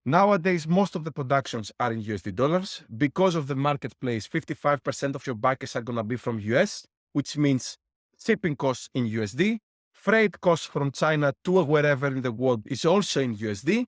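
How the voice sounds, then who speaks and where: A man's voice: mid-range voice, animated, fairly quick, no room sound, studio quality. A middle-aged man from Greece, a guest explaining business lessons with worked numbers and a wry laugh. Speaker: guest, board game publisher. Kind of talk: interview answers.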